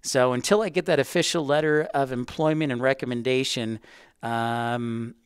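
A man's voice: medium pitch, steady tone, moderate pace, clean tone, no echo, clean studio sound. A man hosting a casual educational livestream, chatty and rambling as he talks directly to his viewers. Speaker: livestream host, aviation educator.

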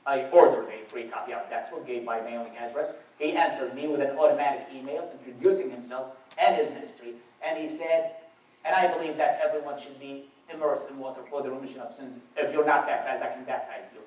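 A man's voice: medium pitch, fairly quick, darkish tone. Iraqi-accented man, echoey church hall. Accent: Iraqi Arabic. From Iraq. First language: Arabic. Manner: wry storytelling with dry humor. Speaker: guest speaker. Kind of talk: personal testimony talk. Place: church auditorium. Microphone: far camera across the room. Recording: roomy echo from far off.

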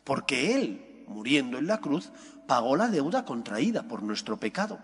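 A middle-aged man with a Spain accent preaches in a large, echoing church. His pitch rises and falls in lively swings as he explains point by point with firm emphasis. The voice is somewhat husky, and he sets off phrases with deliberate pauses. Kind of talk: sermon, homily. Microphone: gooseneck microphone at lectern.

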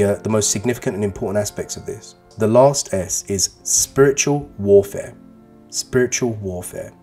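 East london teacher guy, arabic first language, earnest and persuasive, lowish voice, soft guitar behind. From England. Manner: earnest, persuasive, emphatic repetitions. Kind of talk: voice-over religious lesson for pupils. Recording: voice-over with faint guitar music.

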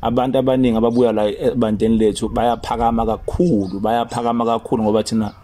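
A man's voice: medium pitch, little pitch movement, fast talker, dark tone. A young man hosting a comedy prank phone call outdoors, talking in casual street style. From South Africa. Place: outdoors beside a parked car under a carport.

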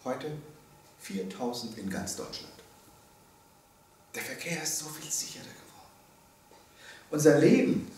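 The recording is roomy and heavily echoing, with the voice some way from the microphone. A man in his early seventies lectures with a very lively, swinging pitch. He is emphatic and deliberate, pausing on key words and posing rhetorical questions. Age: early 70s. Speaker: lecturer, theology professor. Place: indoor seminar room. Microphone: microphone on a stand. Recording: very echoey room, distant, faint noise.

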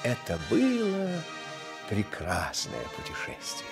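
A man narrating a radio play as a storyteller, with an expressive, confiding, dramatic delivery. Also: high voice, takes it slowly, gravelly, over music.

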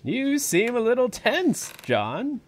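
A man voicing an excitable, grandfatherly elderly park owner in a fan audio drama. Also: extremely high pitch, slow pace, slight background noise, full character voice. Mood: probing. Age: older.

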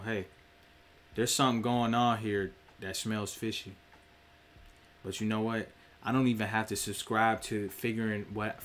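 A man's voice: on the low side, fairly bright tone. A young man, streaming from a small room, preaching about spirituality in a direct, profane way. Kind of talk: casual spiritual monologue.

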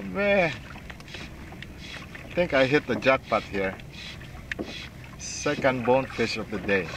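Excitable middle-aged man whooping on a kayak. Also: fairly high pitch, gravelly. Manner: excited whoops and laughter, short exclamations. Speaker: kayak angler filming himself. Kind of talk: live reactions while fishing. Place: kayak on open bay water outdoors. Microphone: action camera mounted on kayak. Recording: wind and water noise.

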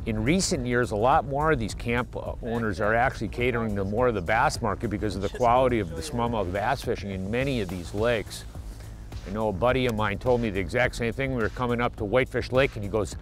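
Middle-aged man, fishing host, excitable outdoor commentary, boat on a lake, faint music underneath. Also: mid-range voice. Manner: enthusiastic, exclaiming often. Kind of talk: casual on-the-water commentary.

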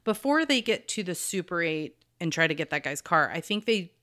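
A woman's voice: lowish voice, big pitch swings, normal speed, pushed and bright. A woman hosting a true crime podcast, telling stories in a casual, candid, informal way. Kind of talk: casual storytelling chat.

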